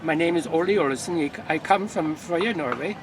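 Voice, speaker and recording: A man playing an old-time Norwegian immigrant fisherman, telling tall tales in a droll, deadpan way outdoors. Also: a little high, normal speed, distant mic, crowd noise, lightly acted. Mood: droll storytelling. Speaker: living-history storyteller. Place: outdoor festival grounds. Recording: outdoor noise, voice a bit off mic.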